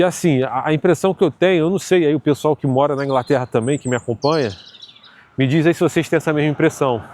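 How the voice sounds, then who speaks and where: A man's voice: mid-range voice, no room sound. A man with a Carioca accent from Rio de Janeiro, talking casually and chatting to his viewers with lots of fillers. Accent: Carioca Brazilian Portuguese. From Rio de Janeiro, Brazil. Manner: relaxed, chatty, many fillers and tag questions. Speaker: vlogger. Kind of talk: casual vlog monologue.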